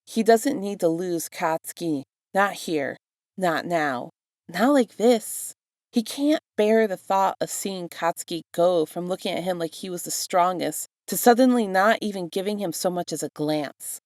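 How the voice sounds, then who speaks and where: A woman's voice: fairly low pitch, a little mellow, dry recording, studio quality. A woman reading fan fiction aloud as a scripted narrator. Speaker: podfic reader.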